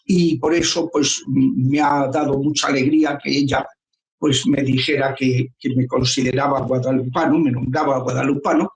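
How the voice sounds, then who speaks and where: A man in his seventies, a priest, giving earnest, measured explanations in an interview. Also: medium pitch, fairly even intonation. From Spain. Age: 70s. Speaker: priest and guest. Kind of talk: interview answers. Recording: echoey room.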